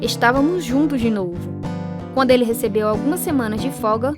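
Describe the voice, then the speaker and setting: A woman's voice: a little high, fairly quick, close to an everyday voice. An actress playing a devout, hurt young daughter who tells her life story earnestly in a Brazilian Portuguese radio drama. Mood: earnest, sorrowful. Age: young adult. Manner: earnest, heartfelt. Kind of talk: radio drama narration and dialogue. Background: music.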